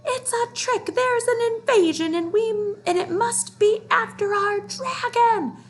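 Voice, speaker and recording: A woman voicing an irate wild boar in a storybook, shouting exclamations in angry alarm. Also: very high-pitched, unhurried, pitched above the performer's own voice. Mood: furious alarm. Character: irate wild boar rousing the animals to attack.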